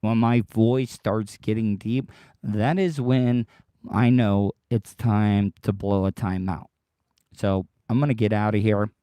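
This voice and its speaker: A man hosting his own podcast livestream, rambling and repeating himself as he talks straight to his listeners, his voice worn deeper than usual by tiredness. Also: fairly even intonation, slow pace, dark tone, clear, resonant tone, dry recording. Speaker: podcast host. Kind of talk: casual solo livestream monologue.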